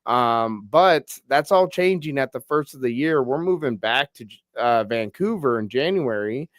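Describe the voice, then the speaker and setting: A man's voice: medium pitch, expressive, unhurried, dry recording. A young man hosting a casual combat-sports podcast, a little stuffy from a cold, laid-back with lots of fillers and "bro". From Pacific Northwest, US. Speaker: podcast host. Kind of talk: casual interview chat.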